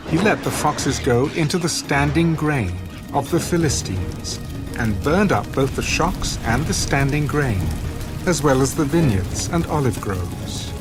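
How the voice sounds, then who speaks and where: A man narrating a dramatised scripture reading in a solemn, measured and dramatic way. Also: mid-range voice. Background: music.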